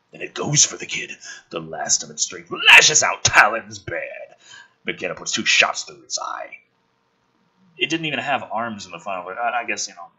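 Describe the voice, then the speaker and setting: Male script reader and commentator, bright, forceful and very animated, sardonic edge, slightly husky. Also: on the low side, low-quality recording. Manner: sardonic, emphatic, profane. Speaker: host reading a film script aloud with his own commentary. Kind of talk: dramatic script reading with sarcastic asides.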